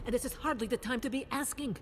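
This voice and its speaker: An actress playing an anxious, devout leader of an endangered keep, earnest and formal, in a video game cutscene. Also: female voice, quick pace, natural voice. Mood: stern, hurried. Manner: earnest, urgent, emotive, formal. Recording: clear rumbling ambient noise behind.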